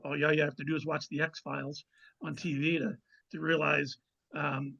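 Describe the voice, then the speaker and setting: A man speaking as a guest author in a conversational interview, explaining things in a measured way with pauses to find his words. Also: a little high, gravelly, bright, forceful tone, husky, lo-fi sound. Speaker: guest novelist.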